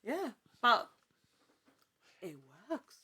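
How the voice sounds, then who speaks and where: A woman speaking as an interview guest, chatting casually with halting, emphatic repetition. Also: a little high, very animated, crowd noise. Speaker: actor and podcast guest.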